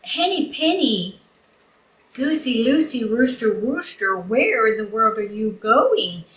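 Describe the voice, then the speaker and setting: A woman voicing an excitable turkey in a children's story. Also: fairly high pitch, takes it slowly, reverberant, heavily acted, poor audio. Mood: excited.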